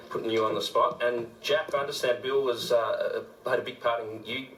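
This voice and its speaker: A man's voice: mid-range voice, steady tone, normal speed, a bit bright. An Australian man presenting on community radio, chatting casually live from a roomy, echoing studio.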